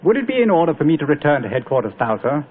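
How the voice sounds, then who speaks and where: An actor playing a brisk, deferential army captain, formal and respectful, in a 1940s radio drama. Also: male voice, fairly high pitch, very animated. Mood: urgent, respectful. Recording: tinny 1940s radio broadcast.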